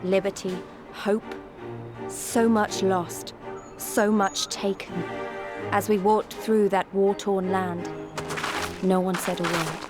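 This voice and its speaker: An actress voices a sorrowful young woman looking back on a war journey. She narrates in a solemn, measured way at a middle pitch, with music clearly behind her, as in a video game. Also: level tone, close to an everyday voice.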